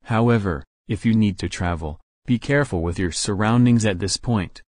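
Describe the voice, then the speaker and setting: A man delivering a formal scripted emergency bulletin as the announcer, measured and impersonal. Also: on the low side, a little mellow, no room sound, studio quality.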